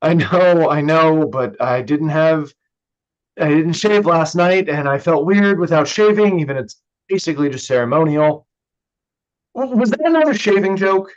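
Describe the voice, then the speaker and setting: A man's voice: a little high, expressive, moderate pace, lightly acted. A young man playing a blunt, sardonic and wounded son and younger brother in a staged reading of a play, recorded in lo-fi. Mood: flustered, sardonic. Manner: hesitant, blunt, swearing. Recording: dull, lo-fi online recording.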